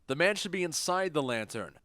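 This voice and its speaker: Man acting wry duke with lively intonation. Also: on the high side, brisk, no room sound, clean studio sound. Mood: wry, amused. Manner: wry, amused commentary. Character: witty, indulgent duke watching an amateur play. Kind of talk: modernized Shakespeare audio drama comedy.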